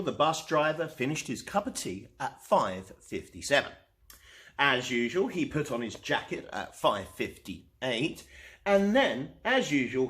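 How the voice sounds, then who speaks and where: A man reading a children's storybook aloud as host, expressively and with dramatic pauses. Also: medium pitch. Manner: animated, unhurried, dramatic pauses.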